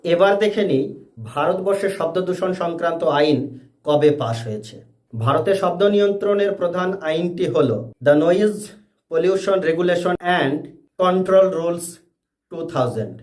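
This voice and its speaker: A man presenting a scripted educational explainer in deliberate, separate phrases. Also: sits high, animated, a little mellow. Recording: echoey room.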